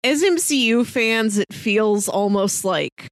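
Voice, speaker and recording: A woman's voice: on the high side, expressive, slow pace, no echo, clean studio sound. A woman co-hosting a casual review podcast in a wry, playfully wordy way. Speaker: podcast co-host.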